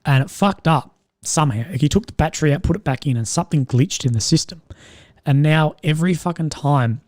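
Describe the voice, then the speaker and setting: Young man, strained croaky voice, rambling casual chat, frequent swearing, dry recording. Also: a little high, darkish tone, no room sound. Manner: rambling, swears often, laughs at own jokes. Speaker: live stream host. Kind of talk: casual live stream chat.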